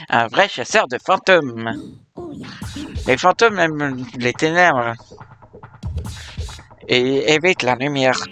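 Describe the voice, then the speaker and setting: A man reading aloud the lines of an elderly, eccentric male ghost-hunting scientist from a video game, in a halting, put-on accent. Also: medium pitch, pushed and bright. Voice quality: halting reading of on-screen dialogue. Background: game music.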